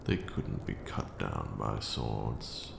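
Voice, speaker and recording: Man playing an extremely deep, gravelly ancient vampire lord. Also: full character voice. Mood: grim, brooding. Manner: measured, grandiose, archaic phrasing. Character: ancient male vampire lord, brooding, cruel yet now weary. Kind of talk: ASMR roleplay, slow dramatic monologue. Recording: roomy echo, audible background noise.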